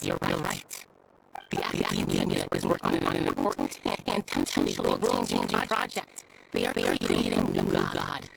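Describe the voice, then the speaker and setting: A woman playing a frightened academic researcher in a video game cutscene, speaking in halting stammers. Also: extremely low pitch, vocal fry, full character voice, poor audio. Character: frightened academic researcher confessing under threat. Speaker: game character actor.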